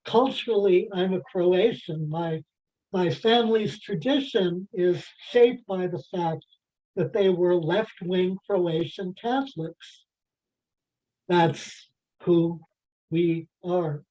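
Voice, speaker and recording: A participant in an adult faith class discussion, talking in a halting way with many fillers and restarts. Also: mid-range voice, dark tone. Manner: halting, heavy with "you know" fillers and restarts. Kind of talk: group discussion. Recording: dull lo-fi sound.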